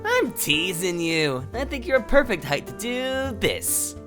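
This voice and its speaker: A woman's voice: medium pitch, sing-song, unhurried, music in the background. A woman playing a teasing, sardonic young woman in a fan audio drama, playful and informal.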